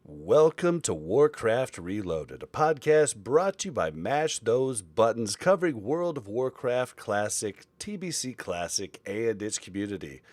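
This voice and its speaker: A man's voice: medium pitch, sing-song, unhurried, hardly any pauses, a little mellow, no room sound. A man hosting a casual podcast chat, rambling along with frequent fillers and restarts. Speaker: podcast host.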